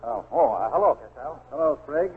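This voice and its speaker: An actor playing a flustered, easily affronted gentleman in a 1940s radio drama, blustery and genteel. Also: mid-range voice, lively intonation, a little fast, close to an everyday voice. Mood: polite, sociable. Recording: scratchy narrow-band 1940s radio recording.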